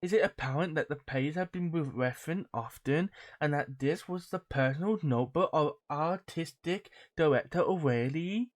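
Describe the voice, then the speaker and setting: A woman's voice: low-pitched, normal speed, hardly any pauses. A woman game streamer reading on-screen text aloud and adding playful, sweary casual commentary.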